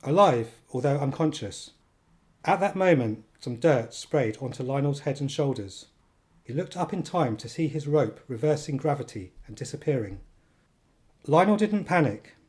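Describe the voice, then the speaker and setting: An actor narrating a comic thriller radio play as a measured, deadpan storyteller, precise and dryly wry. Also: male voice, mid-range voice, close to an everyday voice.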